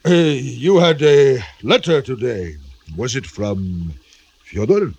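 An actor playing a doting, fretful Russian general and father in a radio drama, with a hesitant, halting delivery. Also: medium pitch, animated, vocal fry, darkish tone, breathy edge, heavily acted. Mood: tentative. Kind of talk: classic radio drama acting.

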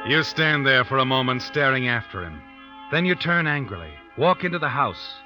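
An actor playing a knowing, suspenseful narrator who tells an old-time radio drama in the second person. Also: male voice, medium pitch, lively intonation, normal speed, sharp tone. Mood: ominous, insistent. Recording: dull vintage radio recording with music.

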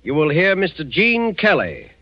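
A man's voice: on the high side, wildly swooping pitch, normal speed. A man announcing a 1940s radio show from a script in a formal, dramatic style. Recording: dull 1940s radio broadcast recording. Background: loud music.

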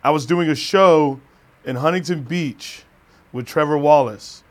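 Podcast host, man in his 30s, a touch higher voice, friendly and swearing. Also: measured pace. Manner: chummy and profane, says dude and man a lot. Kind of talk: casual chat.

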